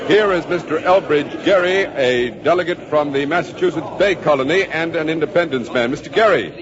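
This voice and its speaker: A man plays an American newsman in a vintage radio broadcast. His reporting is formal, clipped and urgent, in a slightly high voice. The sound is muffled, with a busy crowd all around. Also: a little fast, close to an everyday voice. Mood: brisk, formal. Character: urgent male radio news correspondent reporting from the floor. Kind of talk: old-time radio live news commentary.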